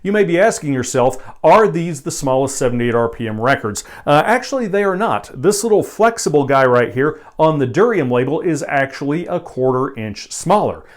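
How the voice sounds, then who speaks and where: A man's voice: a little high, lively intonation, normal speed, few pauses, faint music behind. A man presenting as a video host in an informal explainer style, with a wry, conversational manner. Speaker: video host and record collector.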